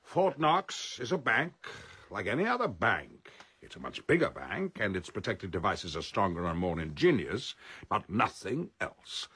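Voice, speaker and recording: Grandiose crime boss, low voice, swooping intonation, formal precise diction, radio drama. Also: male voice, sing-song, moderate pace, fairly bright tone, slightly rough, heavily acted. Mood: commanding. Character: pompous criminal mastermind laying out a grand heist. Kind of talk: radio play acting, formal speechmaking.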